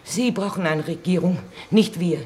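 An actress playing a blunt, unsentimental nurse who reluctantly speaks for a government, curt and matter-of-fact in a 1950s radio play. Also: female voice, fairly low pitch, normal speed, a little mellow, close to an everyday voice. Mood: brusque, unimpressed. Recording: noisy 1950s radio recording.

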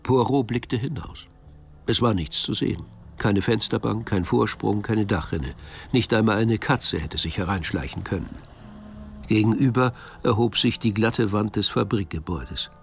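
A man narrating a crime story from a script in a measured storytelling manner. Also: on the low side, vocal fry, faint music behind.

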